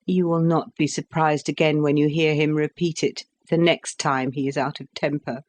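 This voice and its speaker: A man reading the part of a weary young wife, telling her story in a calm, cold, subdued way in an audiobook. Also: on the high side, moderate pace, natural voice. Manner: weary, subdued, evenly told.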